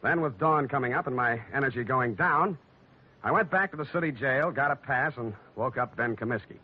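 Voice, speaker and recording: An actor playing a tough, wry American private detective in clipped, sardonic 1940s radio style. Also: male voice, medium pitch, fairly quick, husky, natural voice. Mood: dry, brisk. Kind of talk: 1940s radio acting, hard-boiled narration. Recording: dull noisy old radio recording.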